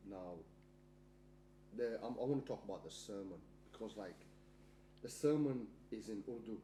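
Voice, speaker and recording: Man, chatty podcast host, dark mellow voice, roomy echo, faint hum. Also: fairly low pitch, moderate pace. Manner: chatty, tells anecdotes. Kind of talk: casual chat.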